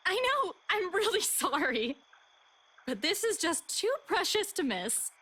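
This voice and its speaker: An actress playing a teasing young woman in a horror visual novel, playful and expressive. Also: very high-pitched, a little slow, heavily acted. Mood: amused, apologetic. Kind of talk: voiced visual novel dialogue.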